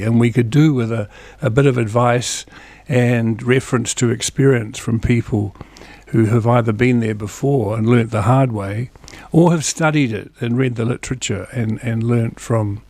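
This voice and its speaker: Community TV host, a New Zealand man who reads listings in a plain, friendly way; mid-pitched voice, dry close studio sound. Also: moderate pace, darkish tone, no echo. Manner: plain, friendly listing of dates and phone numbers with small asides. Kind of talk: reading local event listings, casual chat.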